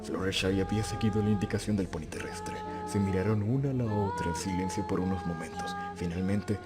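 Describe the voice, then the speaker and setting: Low-voiced male narrator over loud music. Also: steady tone, brisk. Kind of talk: scripted dramatic story narration.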